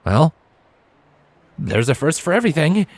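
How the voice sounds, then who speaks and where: A man's voice: a little high, big pitch swings, unhurried, no room sound, voice pushed up. A man voicing a bashful, imaginative young male pony in an audio story, sheepish and mildly defensive. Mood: musing. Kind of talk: fan fiction audio story character acting.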